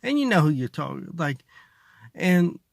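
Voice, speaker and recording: Creaky-voiced man rambling with dry sarcasm. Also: a little high, very animated, unhurried, dry recording. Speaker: stand-up comedian, vlogger. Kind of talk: casual comedic monologue to camera. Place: inside a parked car.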